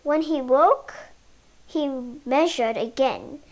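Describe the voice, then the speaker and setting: A female reader reading a children's picture book aloud, halting word by word in short phrases. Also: high voice, expressive, a little mellow. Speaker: storybook reader.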